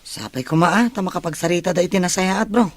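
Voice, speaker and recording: A man's voice: high voice, a little fast. A man playing a frank, cautioning male friend in an Ilocano audio drama. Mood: curious, supportive.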